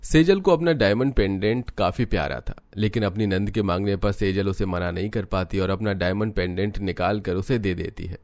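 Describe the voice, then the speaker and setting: A man with a fairly low voice serves as narrator. He delivers a scripted family story in a storytelling style. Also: normal speed, few pauses, darkish tone, no room sound.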